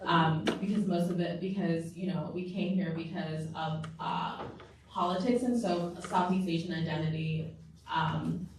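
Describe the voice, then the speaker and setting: Young woman, community organizer, relaxed and chatty, slightly low voice, far from the mic in a roomy hall. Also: measured pace, background noise. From Southeast Asia. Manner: relaxed, lots of "um" and "y'all". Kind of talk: casual panel introduction. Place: large room at a public forum. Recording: echoey room, distant mic.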